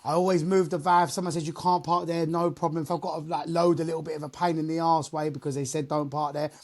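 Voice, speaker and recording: A young adult man, loud and animated, telling a work story in casual podcast chat. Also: a little high, fast talker. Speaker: podcast host.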